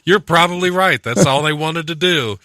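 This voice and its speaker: A man's voice: fairly high pitch, moderate pace, bright, forceful tone, no room sound. A man hosting a casual comedy podcast, reading news items and breaking off into sarcastic, joking asides. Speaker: podcast host. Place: studio.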